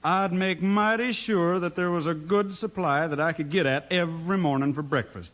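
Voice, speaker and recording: A man with an American accent giving a hearty, folksy cowboy-style sales pitch as a 1950s radio announcer. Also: moderate pace, fairly bright tone. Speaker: announcer delivering sponsor advert. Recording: old radio broadcast recording.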